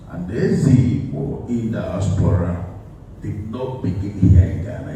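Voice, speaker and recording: A middle-aged community leader, a man, answering interview questions in a measured way and listing his points one by one. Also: low voice. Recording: echoey room, voice far from the mic, faint noise.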